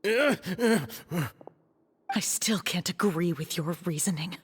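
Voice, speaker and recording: A woman's voice: on the low side, takes it slowly, slight background noise. A woman voicing a bitter, grieving young avenger in a video game, intense and accusing. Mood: bitter, defiant. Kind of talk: dramatic video game voice acting.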